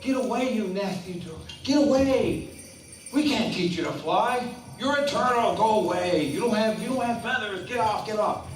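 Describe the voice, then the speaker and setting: A man's voice: sits high, slow, long pauses, faint music behind, voice pushed up. A man voicing a haughty flock of swans who shriek at a pest to go away. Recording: echoey room, distant mic, faint noise.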